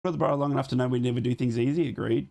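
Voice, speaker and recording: A hoarse man streaming a football watchalong, talking casually at a middle pitch as he reads out scores and thanks viewers for donations. He sounds a little sick, his voice wearing thin. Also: steady tone, racing. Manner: chatty, reads live scores and donations aloud, shouts on donations. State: losing his voice, a bit sick. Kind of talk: casual live football commentary and chat.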